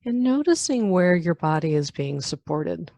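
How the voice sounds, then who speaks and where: A woman leading a guided meditation in a calm, unhurried manner, leaving long pauses between phrases. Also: on the low side, no room sound, clean studio sound. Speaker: meditation guide, yoga nidra teacher. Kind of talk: guided meditation, body scan.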